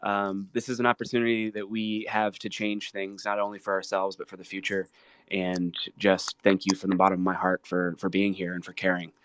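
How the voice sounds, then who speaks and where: A man's voice: sits low, completely flat delivery, a little fast, fairly bright tone, clear, resonant tone. Guy musician guest chatting, really earnest and grateful, heartfelt casual talk.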